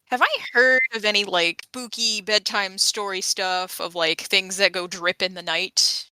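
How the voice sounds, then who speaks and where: A woman's voice: mid-range voice, fairly even intonation, measured pace, bright, forceful tone, smooth, dry recording, low-quality recording. A woman chatting casually as a player in a tabletop role-playing game. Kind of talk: casual chat.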